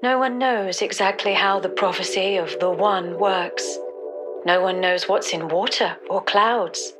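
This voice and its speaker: An actress playing an earnest, mystical announcer in a parody self-help book advertisement. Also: female voice, medium pitch, a little slow, natural voice. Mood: dreamy, earnest. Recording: loud music under the voice.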